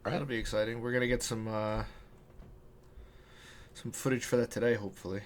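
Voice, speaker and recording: A man's voice: mid-range voice, fairly even intonation, brisk. A man hosting a podcast in casual, conversational chat. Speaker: podcast host. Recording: clear background room noise.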